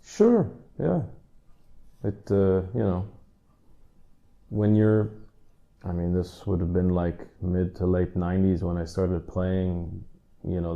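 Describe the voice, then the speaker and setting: Thirties drummer from the Netherlands, low and mellow, loose rambling answers, dull lo-fi sound. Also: male voice, gravelly. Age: 30s. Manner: relaxed, rambling with many "like" and "you know" asides. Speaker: drummer interviewee. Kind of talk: casual interview answers.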